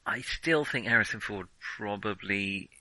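A man with a fairly low but strikingly bright, crisp-edged voice talks casually as a film podcast co-host. He hesitates and qualifies himself as he goes. The sound is dry, with very little room around it. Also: a little slow, dry recording, poor audio.

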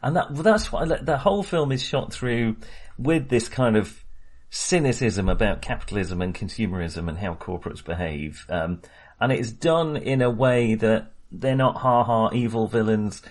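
A man with a somewhat low, husky voice talks through a film in a relaxed, rambling way. He hesitates a lot, restarts his sentences and breaks into laughter. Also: moderate pace. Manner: chatty, hesitant, many fillers and restarts, laughs often. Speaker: podcast co-host. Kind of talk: casual film discussion.

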